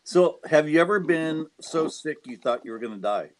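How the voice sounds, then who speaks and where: A man's voice: on the high side, expressive, moderate pace, crowd noise, poor audio. A man interviewing a comedian, asking casual questions and adding joking asides. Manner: laughs easily, joking asides.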